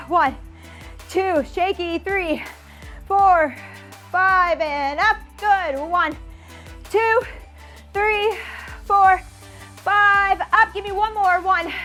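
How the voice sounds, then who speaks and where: A high-voiced woman leads a workout as a fitness instructor, counting and cueing moves. She is chatty and encouraging, slightly stuffed up, with faint music in the recording. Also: pushed and bright. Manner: chatty, encouraging, self-deprecating asides. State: stuffed-up nose.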